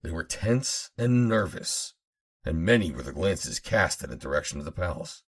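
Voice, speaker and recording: A man reading an adventure novel aloud as an audiobook narrator, in a measured, formal storytelling manner. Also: sits low, big pitch swings, breathy edge. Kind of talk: dramatic scripted narration of an old adventure novel.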